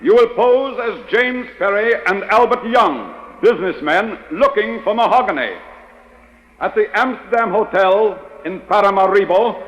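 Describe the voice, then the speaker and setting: Commanding spymaster, high-pitched man, measured and formal, vintage radio adventure. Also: fairly bright tone, highs cut off. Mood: grave, formal. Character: formal, authoritative male chief of a secret agency. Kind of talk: 1940s radio acting.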